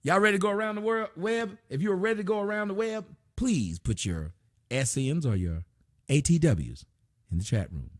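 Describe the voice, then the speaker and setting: A man's voice: sits high, lively intonation, normal speed, no echo, clean studio sound. A man hosting a casual livestream from a small room at his desk, loosely reading donations and chat aloud with plenty of profanity. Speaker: livestream host.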